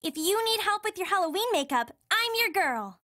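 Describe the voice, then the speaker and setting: Cheerful, playful game girl: very high, bubbly, wildly animated. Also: normal speed, dry recording, studio quality, exaggerated voice. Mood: perky. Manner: bubbly, sing-song. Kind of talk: scripted video game character voice lines.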